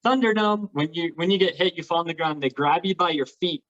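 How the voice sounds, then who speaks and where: A man's voice: fairly high pitch, fairly quick, fairly bright tone, dull audio. A man talking casually and enthusiastically, explaining things as a guest on a talk show. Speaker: guest, LARP participant.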